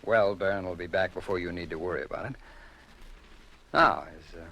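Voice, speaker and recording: A smooth american g-man, vintage radio play, lowish voice, officious, cagey with little pauses. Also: pushed and bright, exaggerated voice. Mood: smug, assured. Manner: measured, coy, officious, deliberately pausing. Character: smooth, evasive, self-assured male federal agent. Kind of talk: 1950s American radio drama acting.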